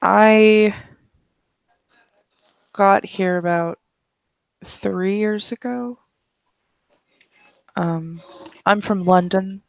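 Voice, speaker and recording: Game master doing a composed, friendly woman scientist, gravelly and dry, halting pauses. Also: mid-range voice, dry recording, pitched above the performer's own voice, rough recording.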